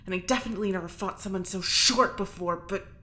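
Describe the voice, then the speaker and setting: Woman acting a bright, tense, agitated prisoner. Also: medium pitch, lightly acted. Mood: angry, rattled. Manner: stammering, halting, talking to herself. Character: agitated, defiant woman prisoner in space, fighting panic. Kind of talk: audio drama monologue.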